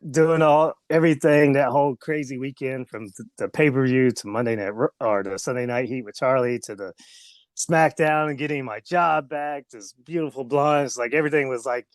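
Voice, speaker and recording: A man's voice: mid-range voice, normal speed, dry recording. Laughing, rambling man with a Southern accent. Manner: laughing, rambling, uses many fillers. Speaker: guest wrestler. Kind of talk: casual storytelling.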